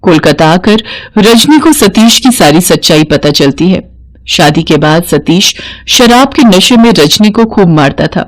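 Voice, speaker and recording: A woman's voice: lowish voice, animated, a little slow. A woman narrating a scripted moral story as a storyteller.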